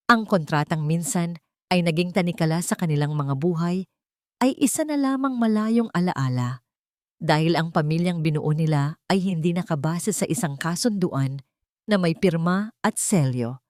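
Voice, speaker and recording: A woman's voice: on the low side, a little mellow, no room sound, clean studio sound. A woman narrating a scripted romantic story in a sentimental, dramatic way.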